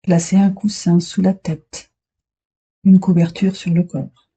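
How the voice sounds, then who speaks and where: Casting: female meditation guide, very dark, soft-edged husky tone, soothing delivery with long pauses, slightly muffled recording. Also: fairly low pitch, little pitch movement. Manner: soothing, unhurried, short phrases with long pauses. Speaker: yoga nidra instructor. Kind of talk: guided meditation, relaxation instructions.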